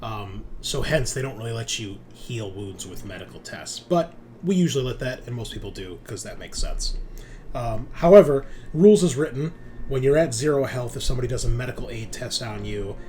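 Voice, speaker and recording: A man running a tabletop roleplaying game, narrating and explaining rules in a halting, think-aloud way. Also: mid-range voice, animated, dark tone. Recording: clear background noise.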